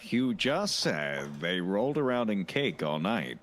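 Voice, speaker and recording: A man acts out a pompous detective in a comic audio play, low-voiced and swinging widely in pitch. He is boastful and formal, with a deadpan edge, over a faint background noise. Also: a little slow. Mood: probing, firm. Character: very tall, pompous male detective and sausage artist. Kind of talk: comedic audio drama.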